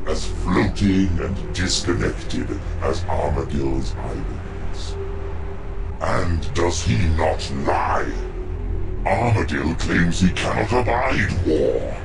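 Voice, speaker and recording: Very deep, gravelly warlord god. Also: male voice, full character voice. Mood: contemptuous, resolute. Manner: grandiose, deliberate, declamatory. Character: ruthless male warlord war god, proud and domineering. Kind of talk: dramatic video game character monologue.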